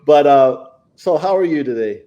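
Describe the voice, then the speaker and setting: A man's voice: a little high, animated, moderate pace, dull audio, crowd noise. A man hosting an interview podcast in an enthusiastic, affirming, conversational way. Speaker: podcast host.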